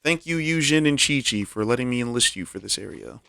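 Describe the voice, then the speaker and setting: A man's voice: mid-range voice, lively intonation, brisk, vocal fry, sharp tone, no echo. A man streaming a video game, reacting casually in informal, slangy speech.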